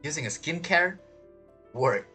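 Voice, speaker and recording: A woman with a husky voice giving casual, reactive, questioning commentary as she plays a game. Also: low voice, animated, measured pace, bright, forceful tone, faint music behind. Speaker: game streamer.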